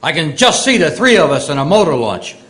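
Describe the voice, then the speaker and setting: A middle-aged radio comedy father, played by a male actor, full of bluster and easily fooled. His pitch sits high and leaps around widely. He delivers everything with bombastic indignation in a vintage 1940s manner. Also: very animated, brisk, fairly bright tone. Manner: indignant, bombastic, dim-witted. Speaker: actor in old-time radio re-creation.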